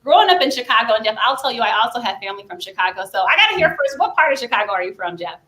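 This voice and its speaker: A young woman hosting a sports talk radio show, energetic and enthusiastic as she interviews a guest. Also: on the high side, racing, echoey room. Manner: energetic, enthusiastic, laughs often.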